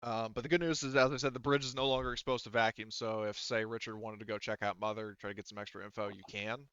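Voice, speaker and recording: A man's voice: fairly low pitch, flat delivery, quick pace, pushed and bright, clear, resonant tone, no echo, muffled recording. A man running a tabletop roleplaying session as game master, explaining and refereeing as he goes.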